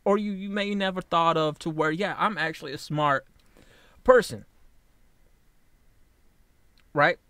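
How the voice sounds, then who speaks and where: Man, late twenties, slightly high voice, blunt and rambling vlogger, halting restarts, dry close sound. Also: sharp tone, no echo. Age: 20s. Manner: rambling, blunt, swears freely. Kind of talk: casual personal vlog monologue.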